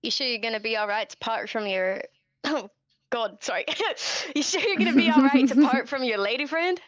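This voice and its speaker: Higher-voiced woman in dry, close sound. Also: normal speed, no room sound. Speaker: tabletop game player. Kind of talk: casual, joking improvised role-play chat.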